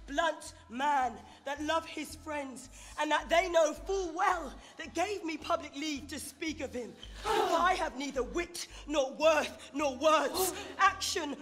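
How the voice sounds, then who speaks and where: A woman playing an impassioned, grieving Roman orator who slyly rouses a crowd, declaiming a Shakespearean stage speech with weighted rhetorical pauses. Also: sits high. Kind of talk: theatrical Shakespearean stage oration.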